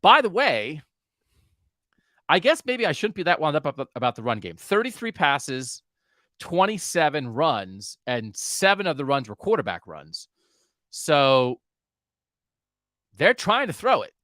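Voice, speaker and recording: A man hosting a sports podcast, talking casually in an animated way and asking his co-host questions. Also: on the high side, measured pace, pauses often, dry recording, clean studio sound.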